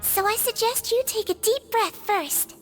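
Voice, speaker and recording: A woman voicing a gentle, caring little nurse creature in a game, speaking softly and patiently. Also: very high voice, a little slow, faint music behind. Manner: soft, kindly, patient, reassuring. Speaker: head nurse. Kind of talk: game voice acting.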